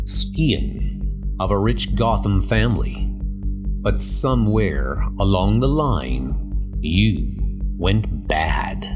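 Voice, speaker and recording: Guy narrating a comic real slow and ominous, dramatic delivery, music behind him. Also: fairly low pitch. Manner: slow, deliberate, portentous second-person delivery.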